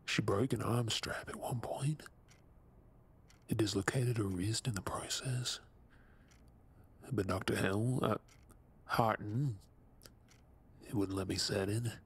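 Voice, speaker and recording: An actor playing a guilt-ridden male lab assistant in an audio drama, halting and subdued, with a husky voice. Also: on the low side, exaggerated voice. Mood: haunted confession. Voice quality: husky, breathy.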